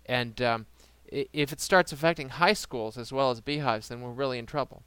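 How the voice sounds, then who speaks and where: A man's voice: medium pitch, brisk, noisy background. Dry-humored male podcast host. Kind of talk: casual chat.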